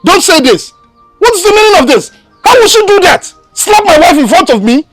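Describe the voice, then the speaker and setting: A woman voicing a protective young husband in a comedy skit, putting on a low voice. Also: sing-song, unhurried, warm tone, faint music behind, full character voice. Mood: indignant. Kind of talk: comic skit acting.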